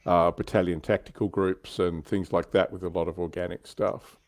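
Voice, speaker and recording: A man's voice: low voice, level tone, normal speed, darkish tone, no echo. A man co-hosting a casual livestream about tabletop wargaming, explaining things in a conversational way. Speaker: livestream co-host.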